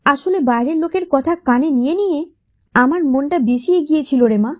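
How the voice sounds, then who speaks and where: A woman's voice: sits high, moderate pace, natural voice. A woman voicing a remorseful, affectionate older mother-in-law, emotional and apologetic, in an audio story.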